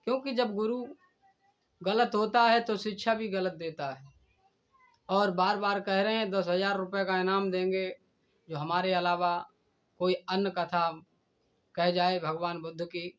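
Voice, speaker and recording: A man delivering a stern, deliberate admonishing speech in a preachy manner. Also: high voice, steady tone. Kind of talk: admonishing speech addressed to a rival. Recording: dull, lo-fi recording.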